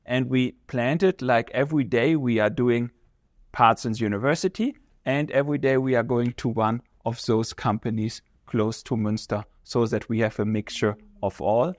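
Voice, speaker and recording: A man with a German accent, a visiting professor speaking in English as a second language, explaining things in a measured way and searching for words as he talks. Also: medium pitch, fairly even intonation, no echo, clean studio sound. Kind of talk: conversational interview answers.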